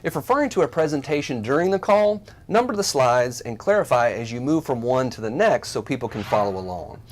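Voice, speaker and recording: A man co-hosting a workplace tech show, giving measured, scripted explanations with light, dry banter. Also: medium pitch, big pitch swings.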